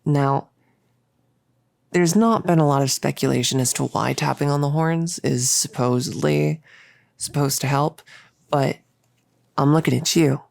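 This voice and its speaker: Creaky, rambling, earnest cultist played by a voice actor. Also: medium pitch, a little mellow, no room sound. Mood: curious, gentle. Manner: halting, rambling, casual, awkwardly optimistic. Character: earnest, rambling, chirpy cultist scientist, gently bossy. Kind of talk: roleplay audio monologue, intimate casual delivery. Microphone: close, dry studio-style microphone.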